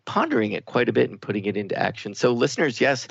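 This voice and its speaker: Male radio co-host, low creaky voice, reflective and halting, discussing spiritual ideas, dry and slightly muffled. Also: sing-song, no room sound. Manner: reflective, halting, searching for words. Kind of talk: conversational spiritual talk radio.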